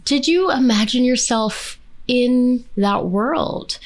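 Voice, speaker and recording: A woman's voice: fairly high pitch, lively intonation, slow pace. A middle-aged woman hosting an interview in a casual, conversational way, musing her way loosely into questions. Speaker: interview host.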